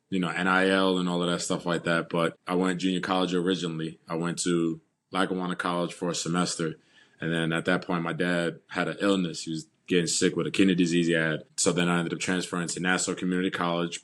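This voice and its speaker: A young man from New York talks about his football career in a calm, steady way. His voice is very deep and stays flat and even in pitch. He answers at an unhurried, relaxed pace. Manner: calm, steady storytelling with "uh" and "you know". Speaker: football player, guest. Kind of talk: casual interview answers.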